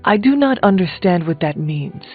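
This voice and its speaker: A woman's voice: lowish voice, lively intonation, moderate pace, lightly acted. A woman plays a solemn warrior hero from a video game. The character is earnest and literal-minded, with formal, old-fashioned phrasing. The capture is muffled, with faint music behind. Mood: solemn, puzzled. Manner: formal, without contractions.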